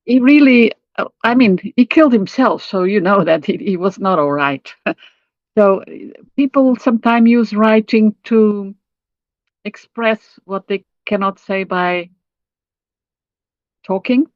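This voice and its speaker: Quick-talking older woman from Portugal. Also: medium pitch, animated, no echo, lo-fi sound. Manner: speedy talker, stumbles and restarts. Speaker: guest. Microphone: video call.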